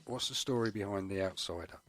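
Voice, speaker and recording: A man's voice: on the low side, fast talker, a bit bright. A man hosting a casual radio interview, effusive and often stumbling over the starts of his words. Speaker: radio host. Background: music playing underneath.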